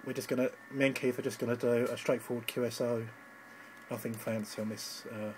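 A man's voice: medium pitch, little pitch movement, brisk, darkish tone, slight background noise. A man giving an informal, slightly hesitant live demonstration as an amateur radio operator, recorded on a handheld phone indoors. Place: indoors at a radio station desk.

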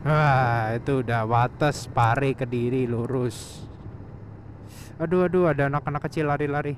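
A man's voice: mid-range voice, unhurried. A man chatting casually and informally as a motovlogger while he rides. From Surabaya, Indonesia. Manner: informal, slangy, refers to himself by name. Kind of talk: casual chat while riding a motorbike. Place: outdoors on a moving motorbike on a village road. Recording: engine, wind and road noise. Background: motorbike engine and passing traffic.

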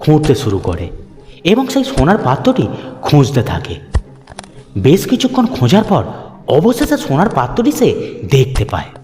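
A man's voice: on the high side, huge pitch swings, normal speed, pauses often, darkish tone. A man narrating a scripted horror cartoon story for children as its storyteller. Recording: long hall reverb over music.

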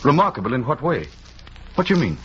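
A man's voice: mid-range voice, big pitch swings, fast talker, fairly bright tone. A dull, slightly noisy 1940s radio recording features a young army captain. He is brusque and protective, with very varied intonation in old radio drama manner. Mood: guarded, polite.

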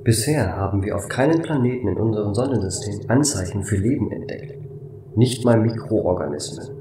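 A man voicing a calm, matter-of-fact station computer in a flat, formal way for a sci-fi audio drama. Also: lowish voice, fairly quick, reverberant, far from the mic, noisy background, lightly acted. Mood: factual.

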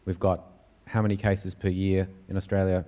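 Low, mellow male panel moderator. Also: monotone, moderate pace. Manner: thinks aloud, repeats phrases. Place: large auditorium stage.

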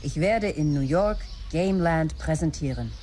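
A woman's voice: low-pitched, expressive, moderate pace, dark tone, soft background music. An actress playing a driven, earnest female executive in a German radio play. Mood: businesslike. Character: businesslike, driven female executive presenting a tech venture.

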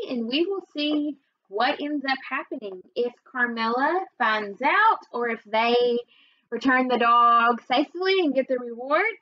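Young lady teacher doing read aloud for students, kinda high voice, bit muffled webcam audio. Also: no echo. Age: young adult. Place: small indoor room at home. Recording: slightly dull webcam recording.

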